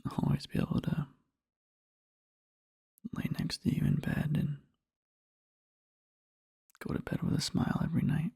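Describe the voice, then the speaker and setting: A man playing a devoted, sleepy boyfriend in an ASMR roleplay, murmuring tenderly and haltingly in a husky whisper, close to the microphone. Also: on the high side, little pitch movement, warm tone, no echo, heavily acted. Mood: tender, adoring. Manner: slow, halting, rambling, tender. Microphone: close-up microphone, dry.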